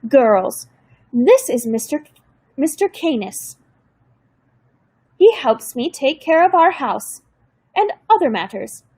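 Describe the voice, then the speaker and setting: A woman's voice: high voice, very animated, takes it slowly, pauses often, warm tone, no room sound, pitched above the performer's own voice, exaggerated voice. Woman playing a doting old grandmother, slight German accent. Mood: gentle. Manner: gushing, doting. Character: warm, affectionate old grandmother.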